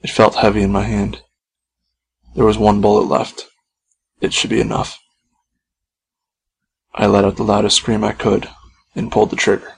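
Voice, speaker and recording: Male narrator for first-person horror fiction, gravelly low voice, faint noise on the recording. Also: completely flat delivery, moderate pace, pauses often, low-quality recording. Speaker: author reading own short story.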